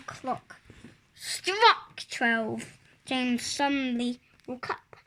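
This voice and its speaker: A five-year-old child reading a picture storybook aloud in a halting, word-by-word way. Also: mid-range voice, a bit bright, a little hiss or hum. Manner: halting, word-by-word early reader. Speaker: child reader.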